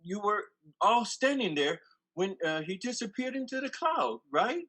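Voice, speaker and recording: A man playing an excitable, incredulous biblical disciple in a church dramatic reading, agitated, stammering and insistent. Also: sits high, unhurried, full character voice.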